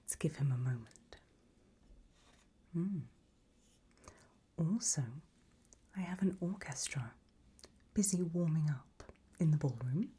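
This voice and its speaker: An elegant, macabre gothic matriarch, played by a woman, receives a guest in an ASMR roleplay. Her whisper is very breathy and mellow, with little edge. She takes her time, languid and gracious in every phrase. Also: sits low, lively intonation, takes it slowly, long pauses, full character voice. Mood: gracious, fond. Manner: languid, gracious, soft-spoken.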